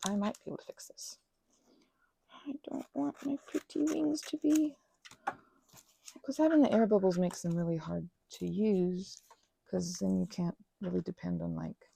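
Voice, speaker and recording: Soft-spoken gravelly-voiced woman rambling. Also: high voice, no room sound. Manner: meandering, self-interrupting, soft whispers and mild exclamations. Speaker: ASMR creator. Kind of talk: soft rambling ASMR craft chat.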